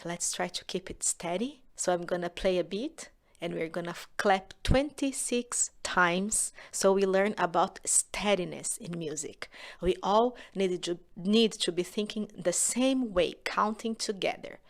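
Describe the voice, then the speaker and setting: A woman from Brazil with a Brazilian Portuguese accent, teaching a music lesson to children in a gentle, encouraging way that often drops to a soft whisper. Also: on the low side, a little slow.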